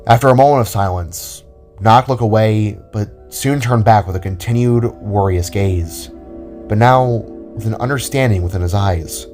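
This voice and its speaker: Low-voiced man narrating a story over music. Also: moderate pace, darkish tone. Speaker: narrator of an audio drama. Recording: music under the voice.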